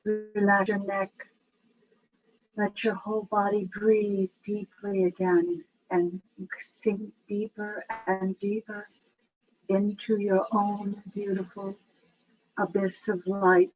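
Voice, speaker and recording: Slow, even-voiced woman guiding meditation. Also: medium pitch, soft background music. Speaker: guided meditation leader. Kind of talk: guided meditation. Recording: dull, lo-fi with faint background noise.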